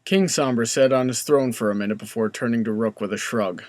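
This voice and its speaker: A man's voice: medium pitch, brisk, a little mellow, dry recording. A man narrating a scripted comedic story as the narrator between characters' lines.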